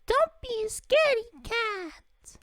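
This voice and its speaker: A woman's voice: very high voice, expressive, slow, fairly bright tone, no room sound, clean studio sound, full character voice. A woman voicing a creepy, playful little girl from a game, thin and childlike. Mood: eerie, playful.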